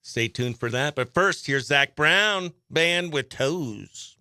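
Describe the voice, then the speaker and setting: Bright, high-pitched man hosting morning radio. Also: takes it slowly, clear, resonant tone, no echo, clean studio sound. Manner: animated, chatty, exclamatory. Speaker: country radio morning show host. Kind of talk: upbeat live radio presenting.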